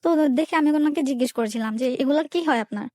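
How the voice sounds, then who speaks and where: A woman's voice: high-pitched, fast talker, a little mellow, dry recording, clean studio sound. A young woman with a Bangladeshi accent tells a personal story in an interview, colloquially, recounting conversations turn by turn. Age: young adult. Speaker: guest telling her life story.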